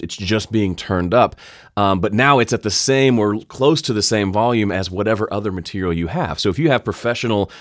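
Nasal-ish guy in his 30s doing a studio tutorial, lowish voice, steady volume, dry close mic. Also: no room sound. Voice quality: slightly nasal. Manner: friendly, explanatory, even volume. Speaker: audio tutorial host. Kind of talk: screen-recorded software tutorial. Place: home studio with keyboards and guitars. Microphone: studio condenser mic close up.

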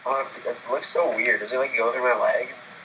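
Teen male gamer, mid pitch, casual joking, noisy home recording. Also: steady tone, moderate pace. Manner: stammering, joking. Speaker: game player. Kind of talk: casual gaming chat.

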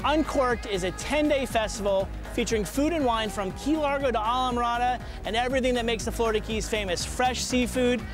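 A man's voice: extremely high pitch, fairly quick, over music. A man presenting an upbeat promotional piece as host of a festival video.

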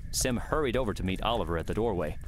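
Man, lowish register, reading fiction narration for an audiobook, slight noise behind. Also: lively intonation, fast talker. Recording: faint background noise and ambience.

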